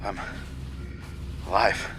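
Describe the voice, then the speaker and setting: An actor playing a frightened, confused male prisoner in a horror audio drama, halting and stammering as he speaks. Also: music in the background. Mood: confused, distressed.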